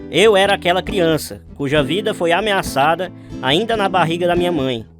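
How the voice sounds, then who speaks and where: A man's voice: sits high, expressive, normal speed, music in the background. An actor playing an earnest man who narrates his own childhood in a radio drama. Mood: reflective. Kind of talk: first-person dramatized narration.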